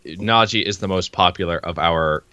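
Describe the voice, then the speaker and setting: A man's voice: low-pitched, moderate pace, sharp tone, no echo, lo-fi sound. A man co-hosting in casual banter, blunt and given to swearing. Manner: blunt, profane. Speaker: co-host.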